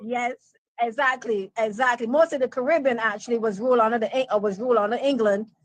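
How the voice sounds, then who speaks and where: A woman's voice: a little high, fairly even intonation, fast talker, no room sound. Barbadian woman caller, dry close sound. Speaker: caller on a livestream. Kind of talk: casual chat.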